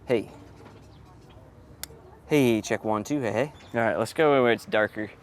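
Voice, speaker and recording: A man chatting casually as an audio technician on the job, informal and thinking out loud. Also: lowish voice, unhurried. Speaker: audio technician setting up a PA system. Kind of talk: casual on-the-job vlog chat. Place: on location at an event venue. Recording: noisy on-location event setup.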